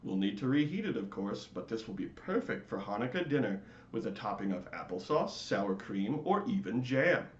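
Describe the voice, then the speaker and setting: A man voicing a practical, pleased father in a storybook, brisk and musing. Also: fairly low pitch, big pitch swings, reverberant, muffled recording, slight background noise.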